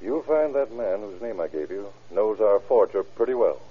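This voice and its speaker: An actor playing a reserved, matter-of-fact bank manager in an old radio crime drama. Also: male voice, fairly low pitch, animated, normal speed, husky. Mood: cautious. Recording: muffled lo-fi old radio recording.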